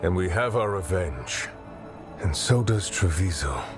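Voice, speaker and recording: A grim fantasy warrior in a video game. He is a deep-voiced man, heard over prominent music. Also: expressive, a little slow.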